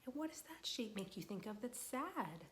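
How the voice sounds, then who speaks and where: A young woman, a children's librarian, reading a picture book aloud to small children, gentle and patient, asking them questions and waiting for their answers. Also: on the high side, sing-song, normal speed, a little hiss or hum.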